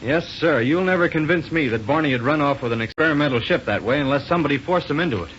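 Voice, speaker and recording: A man's voice: a little high, animated, fast talker, fairly bright tone. A young space pilot hero from a vintage radio adventure. He talks in an earnest, folksy, eager way. The sound is muffled and slightly hissy. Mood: puzzled, determined. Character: earnest, plain-spoken young male rocket pilot hero. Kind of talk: 1930s radio acting.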